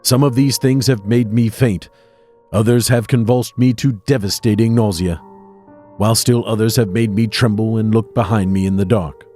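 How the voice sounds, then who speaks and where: Low male voice, an actor as haunted army doctor, grave formal delivery, gothic horror audio drama, faint music. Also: fairly even intonation, normal speed, dark tone, natural voice. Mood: dread. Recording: music under the voice.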